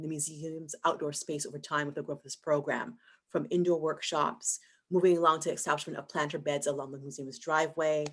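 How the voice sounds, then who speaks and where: Low-voiced woman from Barbados, measured panelist. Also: fairly bright tone. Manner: thoughtful, measured, frequent "um" and "and so". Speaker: museum staff panelist. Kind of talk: online panel discussion.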